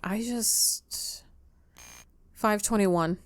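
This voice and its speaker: Woman gamer talking to herself as she plays, slow and halting, normal mid pitch, casual. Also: no room sound. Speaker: game player. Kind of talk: casual gameplay commentary, thinking aloud.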